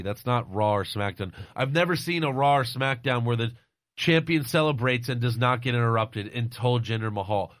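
A man's voice: mid-range voice, a bit bright, no echo. Blunt, argumentative young man, dry sound. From United States. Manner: argumentative, cursing, firm. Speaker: podcast co-host. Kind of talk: casual wrestling chat.

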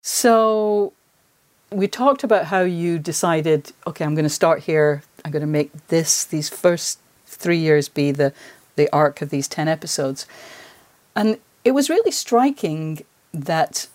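Lowish-voiced woman podcast interviewer. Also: a little slow, a little mellow. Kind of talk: conversational interviewing.

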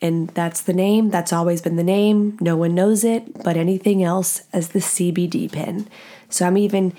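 A woman with a deep, nasal voice hosting a podcast in a blunt, profane, self-mocking casual monologue. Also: steady tone, normal speed, mellow. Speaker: podcast host.

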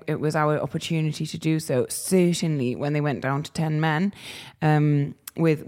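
A woman's voice: low-pitched, a little mellow. A woman on a football podcast, speaking hesitantly with frequent ums. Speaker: podcast panelist.

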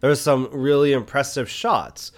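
A man's voice: mid-range voice, a little slow. A man co-hosting a podcast, talking through films in a casual, reflective, conversational way. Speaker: podcast co-host. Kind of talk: casual film review chat.

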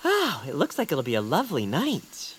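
A woman's voice: deep voice, wildly swooping pitch, normal speed, breathy edge, heavily acted. A woman acting the part of a timid, polite, fretful friend with hesitant, genteel, old-fashioned phrasing in an audio drama. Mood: polite, gentle. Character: timid, fretful, polite friend named Noah, afraid of heights. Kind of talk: audio fiction acting in a quaint old-radio manner.

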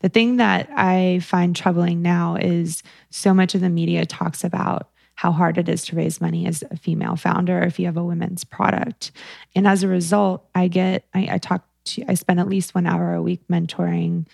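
A young woman talks candidly about her business in a casual interview, her voice low and heavily gravelly with a lot of vocal fry. The recording is dry and close. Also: level tone, moderate pace, darkish tone, dry recording. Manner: candid storytelling with frequent fillers. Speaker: guest, company founder.

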